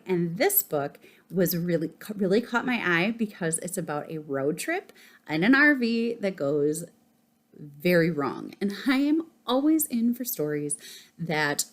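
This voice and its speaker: A woman talking casually to camera about books as a vlogger, enthusiastic and emphatic, often pausing mid-sentence. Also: on the low side. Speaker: book vlogger presenting a reading list.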